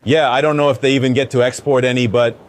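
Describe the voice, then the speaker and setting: A man's voice: mid-range voice, fast talker. A male travel vlogger talks in an easy, chatty way while waiting at a crowded airport gate. His nose sounds a little stuffed up. A noise-cancelling clip-on mic keeps his voice close and clean. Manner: relaxed, chatty, explaining as he goes. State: a bit congested. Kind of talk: casual travel vlog chat. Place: busy airport terminal. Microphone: wireless clip-on mic with noise cancelling.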